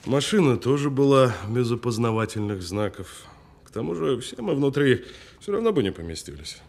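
An actor playing a tough, unrepentant man in an audio drama, justifying himself in a matter-of-fact, deadpan way. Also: mid-range voice, normal speed, vocal fry. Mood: defensive, deadpan. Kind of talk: naturalistic audio drama acting.

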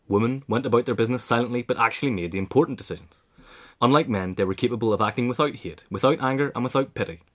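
Brisk, low-voiced man reading an essay. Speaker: reader of an essay. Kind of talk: scripted narration of a biographical essay.

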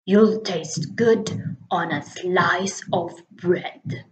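A woman's voice: lowish voice, extremely slow. A woman voicing a big, hungry, menacing monster in a storybook reading. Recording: dull, lo-fi recording.

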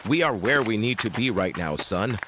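Man playing an earnest, lively-voiced father. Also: fairly low pitch, moderate pace, very noisy, close to an everyday voice. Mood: reassuring. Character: earnest, resolute father leading his young son. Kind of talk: dramatic audio drama acting.

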